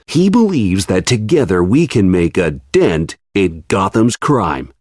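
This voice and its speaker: An actor playing a grave, dramatic American campaign ad announcer in a video game, emphatic and persuasive, with reverberant sound. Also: male voice, sits low, big pitch swings, unhurried, darkish tone. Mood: rallying. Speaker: political ad announcer. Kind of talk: scripted campaign ad narration. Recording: long reverberant hall echo.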